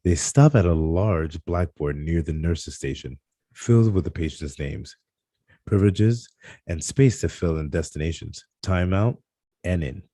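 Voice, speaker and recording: A man narrating screenplay stage directions at a table read, in a halting delivery that stumbles over words. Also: low voice, lively intonation, mellow, no room sound, studio quality.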